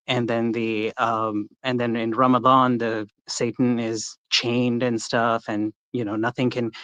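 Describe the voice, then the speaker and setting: A man's voice: mid-range voice, a bit bright, no room sound. Man calling in over a dull line. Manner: rambling, lots of restarts and fillers. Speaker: caller. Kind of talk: casual call-in, personal story. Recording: dull, muffled call audio.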